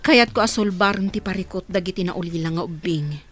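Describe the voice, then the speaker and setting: A woman's voice: lowish voice, a little fast, a bit bright, soft background music, lightly acted. An actress playing a caring, earnest woman who helps orphans, asking concerned questions in an audio drama. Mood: earnest, worried.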